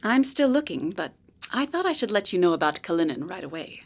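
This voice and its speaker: A woman playing a polite, earnest informant in a noir radio drama, speaking in a measured, precise way. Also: on the low side, big pitch swings, creaky, heavily acted. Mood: grave, informative. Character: polite, earnest female informant helping a detective.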